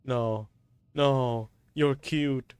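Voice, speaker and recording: A man's voice: mid-range voice, steady tone, very slow, darkish tone, clean tone, no echo. A young man who streams games, reacting casually in slangy, sweary talk with stammers. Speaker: game streamer. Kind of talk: casual joking live reaction.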